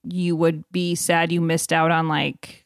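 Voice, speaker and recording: A woman's voice: sits low, flat delivery, measured pace, no echo. Woman chatting casually in a dry recording. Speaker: podcast host. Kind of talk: casual chat.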